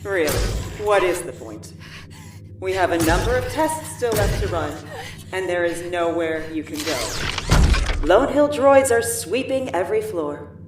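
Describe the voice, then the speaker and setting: Casting: icy female scientist villain played by a woman, lowish, condescending, echoing and distant, sci-fi audio drama. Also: lively intonation. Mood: stern, impatient. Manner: stern, measured, condescending. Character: cold, domineering female lab scientist villain. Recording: echoey and distant, music behind.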